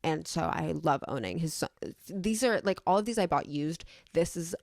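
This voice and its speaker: A woman's voice: low-pitched, expressive, normal speed, no echo, clean studio sound. A young American woman rambling casually as a vlogger, effusive and sweary in how she talks. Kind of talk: casual rambling chat to camera.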